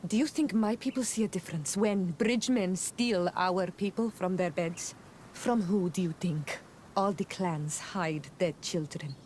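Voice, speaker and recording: A woman's voice: lowish voice, unhurried, a little mellow, a little hiss or hum, close to an everyday voice. Woman acting a proud, defiant young clanswoman. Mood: bitter, defiant. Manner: blunt, accusing, solemn diction. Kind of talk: video game cutscene acting.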